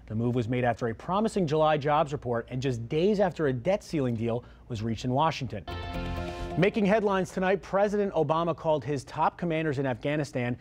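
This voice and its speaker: Male news anchor, American accent, mid-range pitch, delivering scripted bulletins. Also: a little mellow.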